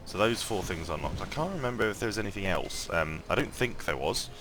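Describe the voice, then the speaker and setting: A man with a croaky morning voice giving casual gameplay commentary, thinking aloud and rambling with lots of ums and restarts. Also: low-pitched, lively intonation, fairly quick, over music. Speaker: mobile game let's-play host.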